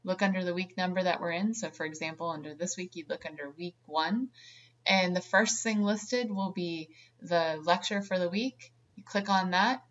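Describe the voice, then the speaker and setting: Lower-voiced woman giving an online lecture. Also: normal speed, muffled recording. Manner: earnest, many ums and restarts. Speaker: creative writing instructor. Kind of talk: recorded online course lecture.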